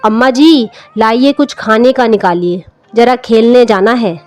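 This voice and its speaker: A woman's voice: a little high, unhurried, darkish tone, lightly acted. A woman voicing a polite, breezy schoolboy son in a story reading. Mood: breezy, respectful. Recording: music under the voice.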